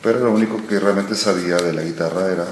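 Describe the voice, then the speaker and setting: A man in his sixties, born in Argentina, answering interview questions slowly and thoughtfully, often pausing and trailing off. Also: low voice. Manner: slow, thoughtful, trailing off with many pauses. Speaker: musician guest. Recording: echoey room with noise.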